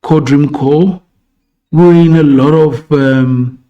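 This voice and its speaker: A man's voice: fairly high pitch, fairly even intonation, takes it slowly, dull audio. A man from Ghana, co-hosting a podcast in a reflective, word-searching manner. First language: Twi. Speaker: podcast co-host and filmmaker. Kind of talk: casual conversation.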